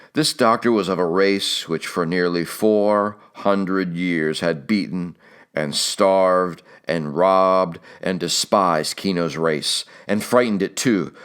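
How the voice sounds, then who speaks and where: Man, low deep pitch, scripted literary narration, audiobook reader. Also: clear, resonant tone.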